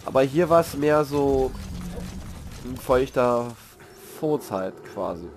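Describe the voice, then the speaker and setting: A man's voice: mid-range voice, lively intonation, takes it slowly, a little mellow, faint music behind. A man who has a cold, casually reviewing a video game while he plays, rambling with fillers and slang asides. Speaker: gamer giving a review. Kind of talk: casual game commentary over gameplay.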